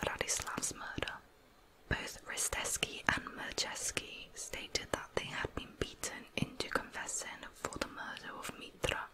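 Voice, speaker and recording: A woman narrating true crime in a soft, measured, breathy ASMR whisper. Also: low-quality recording.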